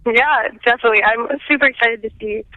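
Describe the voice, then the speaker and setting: A young woman with a thick Minnesotan accent tells stories in a bright, chatty way. She comes through a thin, tinny phone line. The sound is dry, with no room around her. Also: fairly high pitch, steady tone, quick pace, no room sound. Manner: chatty, upbeat storytelling. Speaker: guest. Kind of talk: casual call-in chat.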